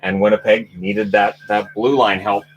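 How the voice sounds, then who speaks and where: Deep-voiced joking hockey host. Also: male voice, level tone, normal speed, a little hiss or hum. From Canada. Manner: joking, rambling, self-mocking. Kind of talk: casual live chat about hockey news.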